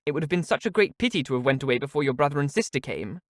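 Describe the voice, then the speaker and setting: A man's voice: on the high side, animated, racing, dry recording, studio quality, lightly acted. A man reading aloud voices a sly young woman who gushes with false sweetness. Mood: gushing. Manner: gushing, insinuating.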